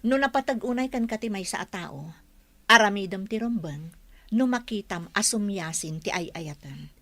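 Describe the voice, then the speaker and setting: A woman's voice: mid-range voice, lively intonation, moderate pace, a bit bright, no room sound, lightly acted. Woman playing an earnest Ilocano daughter, dry sound. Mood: earnest, evasive. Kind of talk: Ilocano radio drama acting.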